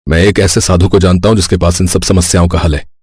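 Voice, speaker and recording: A man acts out a kindly helper in an audio folk tale. His voice is low and husky, earnest and reassuring, with a very dry sound. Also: little pitch movement, quick pace, a little mellow, no echo. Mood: earnest, tender. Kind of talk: acted dialogue in an audio story.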